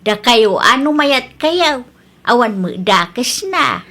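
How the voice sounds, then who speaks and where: An actress plays a practical, sceptical mother in a comedy radio drama. Her voice is bright and sharp, fairly high, with an Ilocano Filipino accent. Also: expressive, takes it slowly, heavily acted.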